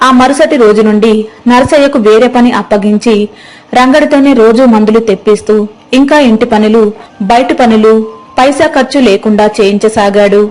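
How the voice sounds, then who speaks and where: Woman, narrator, fairly high pitch, scripted moral story narration. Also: moderate pace, darkish tone, poor audio.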